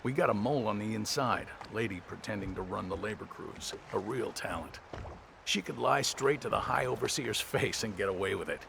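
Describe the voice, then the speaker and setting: Very gravelly, shifty small-time schemer. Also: male voice, lowish voice, brisk, background noise. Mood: scheming, wheedling. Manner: wheedling, street-wise, informal. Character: nervous, scheming small-time male informant. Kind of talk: scripted video game dialogue.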